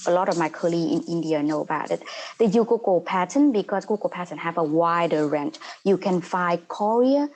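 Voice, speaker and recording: A woman in her thirties from Vietnam with a Vietnamese accent, a non-native English speaker, giving an earnest, halting webinar talk as a paralegal over a video call. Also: fairly low pitch, a little mellow, slight background noise. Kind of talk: online webinar presentation.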